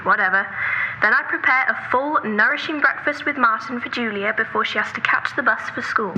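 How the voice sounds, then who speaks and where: Very bright-voiced, nagging sister, acted by a woman. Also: on the high side, fairly quick, a little hiss or hum, poor audio. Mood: exasperated. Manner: persistent, pressing, lecturing. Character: earnest, nagging, orderly sister, exasperated but caring. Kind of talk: naturalistic audio drama dialogue.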